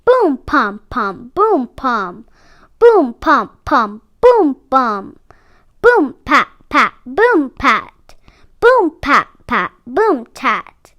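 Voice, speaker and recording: Slow, high-voiced woman reading a storybook. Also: sing-song, a bit bright, dull audio. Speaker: read-aloud storyteller for children. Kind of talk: expressive picture-book narration.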